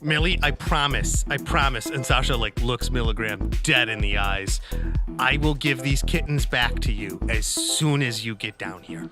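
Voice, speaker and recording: A man's voice: medium pitch, normal speed, over music. A man playing a tabletop game, improvising casually in a hesitant, rambling way. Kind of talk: casual improvised roleplay chat.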